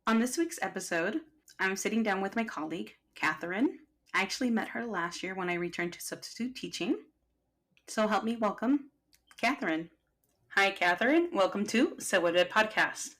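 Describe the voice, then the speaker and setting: A woman's voice: mid-range voice, expressive, moderate pace, fairly bright tone. A woman hosting a podcast, talking casually with her colleague and filling her speech with "like" and "kinda". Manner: chatty, fillers like "like" and "kinda". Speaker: podcast host.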